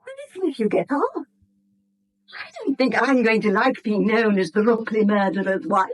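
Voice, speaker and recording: An actress playing an anxious, embittered wife in a British radio drama, emotional and cutting, with a British accent. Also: female voice, a little high, expressive, moderate pace. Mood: bitter reproach. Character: anxious, embittered wife, pleading and sharp-tongued. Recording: vintage 1970s radio drama recording.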